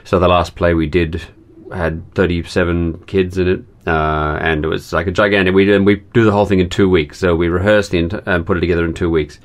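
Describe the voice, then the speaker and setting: A man from Australia who leads children's theatre workshops, telling his story in a casual, hesitant way with many pauses and fillers. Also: sits low, steady tone. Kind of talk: casual personal account.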